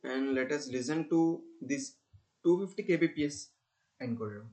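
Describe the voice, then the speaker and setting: A young man with an Indian accent giving a technical demonstration as a student presenter, explaining haltingly with repeated phrases. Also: a little high, a little mellow. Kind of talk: screen-recorded technical demonstration.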